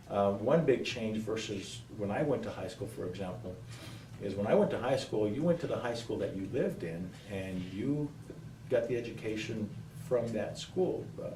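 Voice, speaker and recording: A man applying for a school board seat answers at a public forum, pausing and repeating phrases for emphasis. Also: on the low side, warm tone. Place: indoor public forum room. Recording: roomy echo, distant mic, faint noise.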